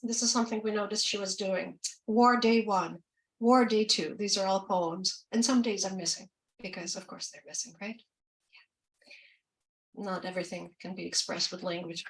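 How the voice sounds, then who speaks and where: A woman from Ukraine reading her poems slowly and deliberately, with quicker, chattier asides between them. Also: medium pitch, steady tone, distant mic, low-quality recording. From Ukraine, former Soviet Union. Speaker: poet and translator. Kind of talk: poetry reading with spoken asides.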